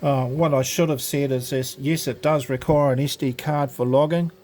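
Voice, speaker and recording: A man's voice: medium pitch, steady tone, moderate pace, darkish tone. A man giving an informal, unscripted product tutorial, explaining step by step in a casual, hesitant way. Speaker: presenter of an amateur radio gadget demo.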